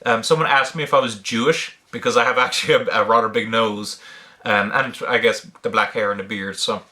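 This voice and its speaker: A man's voice: lowish voice, fairly even intonation, a little fast, bright, forceful tone. A man from Ireland with a blended Irish, British and American accent, casually answering questions in an unscripted, hesitant way, thinking aloud with many ums. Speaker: online video creator answering viewer questions.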